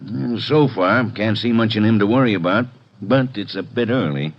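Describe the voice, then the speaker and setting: A skeptical police inspector who talks bluntly and gets to the point. His voice is husky and sits at an ordinary male pitch. It comes through a muffled 1950s broadcast. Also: moderate pace. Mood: gruff. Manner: gruff, blunt, matter-of-fact. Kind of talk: 1950s radio drama acting.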